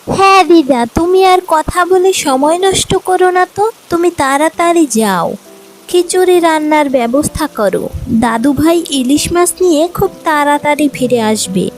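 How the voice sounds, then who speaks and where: A woman voicing a lively, eager little village girl in a children's fairy tale cartoon, with a chirpy, pleading way of talking. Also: sits high, unhurried, soft background music. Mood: eager, bossy.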